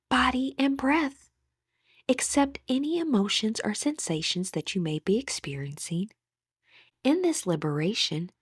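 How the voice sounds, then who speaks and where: A woman leading a guided meditation as instructor, speaking in a soft, breathy, soothing way with deliberate pauses. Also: sits high, no room sound, studio quality. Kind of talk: guided meditation, scripted narration.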